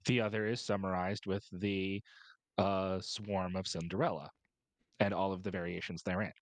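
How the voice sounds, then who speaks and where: A man's voice: low-pitched, little pitch movement, moderate pace, no room sound. A man speaking as a podcast panelist, explaining his points at length in a wordy, emphatic, step-by-step way.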